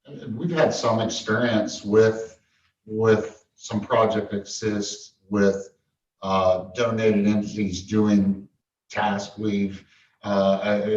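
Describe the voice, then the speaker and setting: A man from a construction firm answering questions at a school board meeting in a relaxed, conversational way. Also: on the low side, slow. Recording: muffled distant audio in an echoey room with faint noise.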